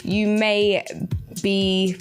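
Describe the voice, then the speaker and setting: A woman's voice: mid-range voice, little pitch movement, drawn-out words, clean tone. A woman presents a live radio chat show, speaking straight to her listeners in an everyday mid-range voice. Faint music plays behind her as she talks. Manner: addresses listeners directly, greeting them by name.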